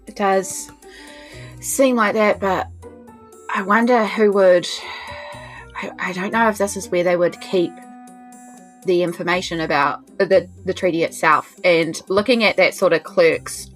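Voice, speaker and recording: A woman plays a tabletop role-playing game in a slightly low voice. She talks informally, working through options aloud. There is music behind her. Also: a little slow, long pauses. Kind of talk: casual tabletop game chat. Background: background music.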